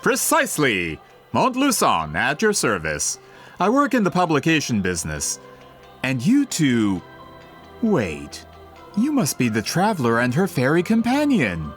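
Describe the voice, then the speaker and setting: A man's voice: medium pitch, wildly swooping pitch, unhurried, a bit bright, soft background music. An actor playing a polite, eager book merchant with a theatrical salesman's manner in a video game.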